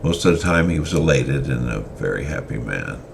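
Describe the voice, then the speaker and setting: A man reading a story aloud in an unhurried way, with frequent hesitant fillers, over faint background noise. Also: very deep voice, animated, creaky. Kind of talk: semi-dramatic story reading.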